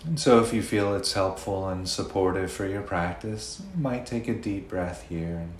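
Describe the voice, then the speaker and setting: A low-pitched man guides a meditation gently and without hurry. His phrases come with long, restful gaps between them. The room adds a clear echo, and there is faint noise in the background. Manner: gentle, unhurried, with long pauses.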